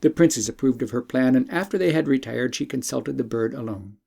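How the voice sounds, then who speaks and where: Guy reading a fairy tale audiobook, mellow and gravelly, careful phrasing. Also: mid-range voice, a little fast. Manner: expressive, formal, carefully phrased. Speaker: audiobook narrator. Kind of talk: scripted storytelling narration.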